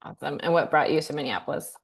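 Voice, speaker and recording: Woman podcast host, low voice, easygoing questions. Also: racing. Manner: relaxed, friendly questions with fillers. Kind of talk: casual interview chat.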